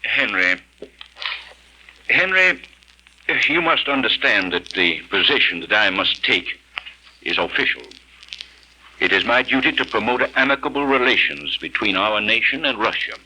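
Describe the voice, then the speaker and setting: A cautious, measured ambassador in a vintage radio play. His voice is lowish and husky, bright in tone, and it moves up and down a lot as he speaks. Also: expressive, exaggerated voice, rough recording. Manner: measured, official. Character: cautious older American ambassador. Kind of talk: 1940s radio acting.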